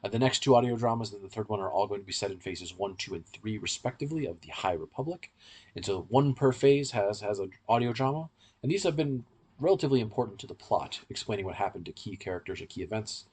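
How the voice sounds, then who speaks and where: A man's voice: fairly low pitch, expressive, fast, darkish tone, breathy edge. A man hosting a podcast, explaining things at length in a conversational discussion.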